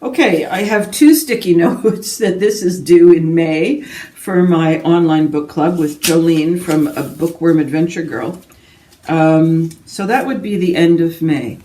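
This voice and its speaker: A woman's voice: low-pitched, unhurried, mellow. A woman chatting casually about books as a vlogger, rambling and interrupting herself with asides. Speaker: book vlogger. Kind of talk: casual book chat to camera. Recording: roomy echo, distant from mic.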